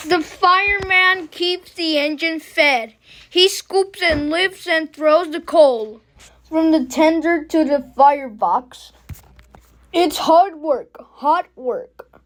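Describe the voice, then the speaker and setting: A woman reading a picture book aloud expressively, in deliberate, emphatic phrases with pauses between short lines. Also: sits high, fairly bright tone, poor audio. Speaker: storybook reader.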